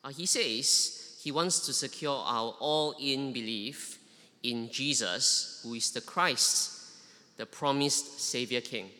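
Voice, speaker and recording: A man's voice: medium pitch, slow, bright, forceful tone, in a large hall. A man from Singapore with a Singaporean accent preaching a sermon, with frequent "uh" fillers and emphatic repeated questions.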